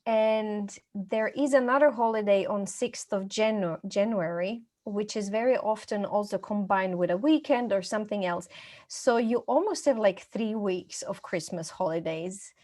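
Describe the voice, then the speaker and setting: A woman's voice: medium pitch, normal speed, a little mellow. A middle-aged woman from Croatia, chatting casually as a podcast guest and telling about her life. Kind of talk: casual conversational storytelling.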